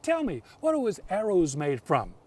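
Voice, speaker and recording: A man's voice: sits high, wildly swooping pitch, moderate pace, husky, recorded from a distance, heavily acted. A man voicing a spirit's stern sister, commanding and testing, in a told folk tale.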